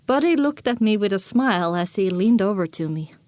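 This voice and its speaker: A woman narrating a first-person story as an audiobook, expressive and dramatic, on a close microphone. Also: medium pitch, fairly quick, dry recording.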